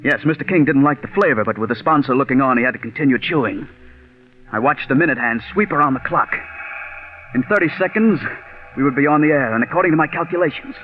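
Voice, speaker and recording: An actor with an American accent playing a coldly scheming, vengeful writer in a 1940s radio mystery, speaking deliberately. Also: male voice, mid-range voice, lightly acted. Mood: coldly calculating. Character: coldly scheming, vengeful male radio scriptwriter turned murderer. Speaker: actor narrating and playing the lead. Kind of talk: 1940s radio mystery acting and first-person narration. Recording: tinny vintage radio recording, music behind.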